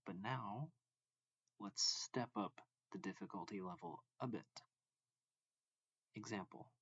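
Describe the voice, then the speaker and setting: A man reading a math lesson aloud as a teacher, deliberately and step by step, phrase by phrase. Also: fairly low pitch, dry recording, lo-fi sound. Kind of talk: slow read-along lesson narration.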